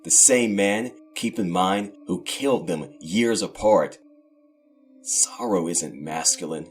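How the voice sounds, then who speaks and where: A man playing a grief-stricken young orphan, narrating his tragedy in a brooding, dramatic horror audio drama. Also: low voice. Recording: faint music under the voice.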